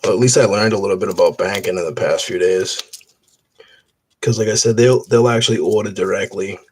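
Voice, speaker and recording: Low-voiced man rambling casually. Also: brisk, slightly rough. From Boston area. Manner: rambling, relaxed, many fillers and restarts. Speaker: coin roll hunter streaming. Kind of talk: casual live-stream chat while sorting coins.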